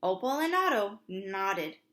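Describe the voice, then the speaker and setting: A woman's voice: mid-range voice, big pitch swings, slow, a bit bright. A woman preschool teacher reads a storybook aloud for young children, expressively, with simple asides to her listeners.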